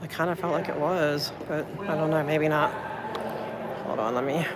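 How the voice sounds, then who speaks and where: A woman's voice: sits low, fairly even intonation, normal speed. A woman livestreaming from a public building, chatting loosely and thanking viewers as she goes. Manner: rambling, thinks aloud, thanks viewers. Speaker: livestreamer filming city meetings. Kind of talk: casual livestream chat. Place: public building hallways and elevators. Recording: noisy phone stream.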